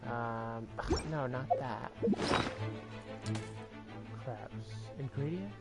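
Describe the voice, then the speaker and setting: A man giving casual gameplay commentary, rambling and thinking out loud. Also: medium pitch, lively intonation, very slow. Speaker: gamer, video host. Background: loud video game music.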